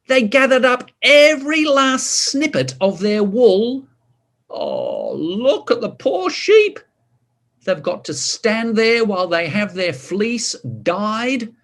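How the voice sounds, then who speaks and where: A man reading a picture book to children, expressive, drawing out words and adding chatty asides and questions. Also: extremely high pitch. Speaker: story reader.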